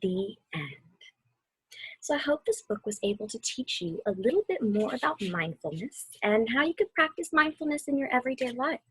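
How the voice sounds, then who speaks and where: Breathy, soothing woman guiding mindfulness. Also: a little high, big pitch swings, dry recording, low-quality recording. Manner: soothing, unhurried, softly spoken. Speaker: mindfulness guide reading a children's book aloud. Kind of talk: gentle guided meditation and read-aloud.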